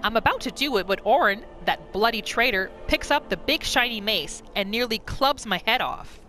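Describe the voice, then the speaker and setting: A woman voicing a rough, callous bandit who tells a grudging story in slangy, menacing words, in a game roleplay. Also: fairly high pitch, expressive, moderate pace, sharp tone, faint music behind. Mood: menacing, distrustful. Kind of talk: in-character reading of a game journal.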